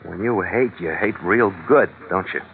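A man's voice: low-pitched, normal speed, vocal fry, a little hiss or hum. An American actor playing a tough, wry sea captain who narrates his own crime adventure in a dry, sardonic, clipped old radio drama style. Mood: dry, bitter. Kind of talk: 1940s-50s American radio drama acting, hardboiled narration. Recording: tinny old radio recording, music behind.

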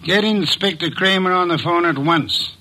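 A man playing a shrewd, precise middle-aged detective in a 1940s radio drama, measured and a little pompous. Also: high voice, bright, forceful tone.

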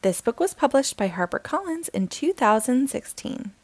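A woman hosting a storytime, reading a children's picture book aloud slowly and expressively. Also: high voice, no room sound. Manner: slow, expressive, sing-song reading.

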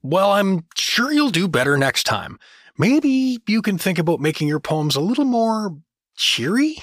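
Actor playing fumbling everyman dad, animated delivery. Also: sits high, a little slow, dry recording, clean studio sound, exaggerated voice. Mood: apologetic, awkward. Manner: hesitant, fumbling, apologetic. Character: Doug, well-meaning but clueless everyman dad turned adventurer. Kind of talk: fantasy audio drama acting.

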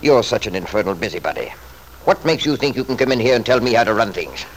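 A man's voice: mid-range voice, expressive, fast, slight background noise, close to an everyday voice, rough recording. An actor playing a selfish, scornful wealthy father in an old-time radio drama, blustering and sarcastic. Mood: indignant.

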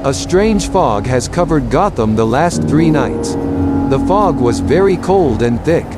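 A man narrates a scripted adventure audio drama. His voice sits a little high, and loud music runs behind his dramatic storytelling. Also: lively intonation, unhurried. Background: loud music and effects.

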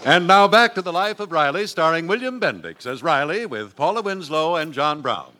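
A man's voice: on the high side, lively intonation, normal speed, sharp tone, faint music behind. An American man announcing a vintage radio commercial in a hearty, folksy salesman's manner.

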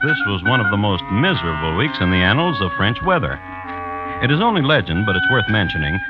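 An actor playing a dry, wry crime-history raconteur, narrating in a literary 1950s radio style. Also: male voice, on the low side. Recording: muffled old radio recording, music behind. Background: prominent music.

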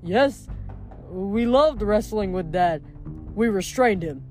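A woman's voice: medium pitch, lively intonation, slow, warm tone, faint music behind. A woman voicing a jovial but menacing male Titan giant, boastful and theatrical, in a storybook reading. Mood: nostalgic, boastful. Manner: theatrical, grandiose, hearty.

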